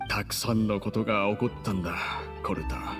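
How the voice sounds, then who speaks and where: Man acting a composed, courteous gentleman. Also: lowish voice, fairly even intonation, fairly quick, over music, lightly acted. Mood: solemn. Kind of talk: anime game voice acting.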